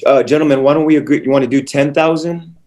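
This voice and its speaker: A man pleading and negotiating as a lawyer in court, conciliatory, halting and repeating his words. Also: on the high side, fairly even intonation, a little mellow. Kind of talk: courtroom negotiation and plea to a judge.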